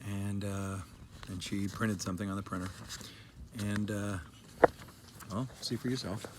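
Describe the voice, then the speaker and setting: A man's voice: on the low side, level tone, a little slow. A man chatting casually to camera as a father presenting his child's story. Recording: clearly noisy background.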